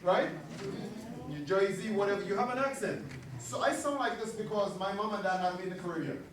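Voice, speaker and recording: A man from Trinidad and Tobago with a Trinidadian accent speaks in a friendly, joking way to a neighborhood meeting. His fairly high voice carries from far off in a hall with heavy echo, and clear room noise sits underneath. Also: brisk. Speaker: school principal, guest speaker. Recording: very echoey hall, distant mic, audible room noise.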